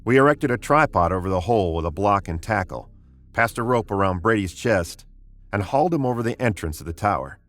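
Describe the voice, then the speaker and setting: An actor playing a steady, authoritative, plain-spoken spaceship commander in a 1950s science fiction radio drama. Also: male voice, on the low side, a little fast. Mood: resolute. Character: steady, decisive male commander of a spaceship expedition.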